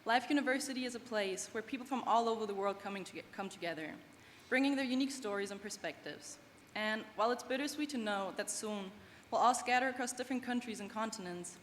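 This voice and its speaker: A woman's voice: medium pitch, quick pace, few pauses, fairly bright tone. German woman giving a graduation speech in a hall. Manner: earnest, heartfelt reflection. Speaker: student graduation speaker. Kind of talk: prepared commencement speech. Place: large event hall. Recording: long hall reverb, faint background noise.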